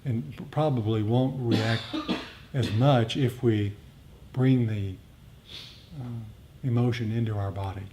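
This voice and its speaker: A man teaching meditation in a talk with questions and answers, speaking in a halting, reflective, explanatory way. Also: steady tone. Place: group meditation gathering. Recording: rumbly background noise.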